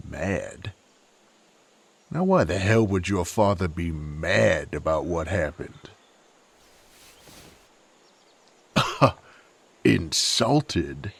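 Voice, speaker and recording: A man playing a gruff, sardonic, proud knight in an audio roleplay, with a drawl, folksy slang and a husky, gravelly voice. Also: low-pitched, full character voice. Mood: indignant. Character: gruff sardonic rough-bred male knight, proud and hot-tempered. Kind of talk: character audio roleplay acting.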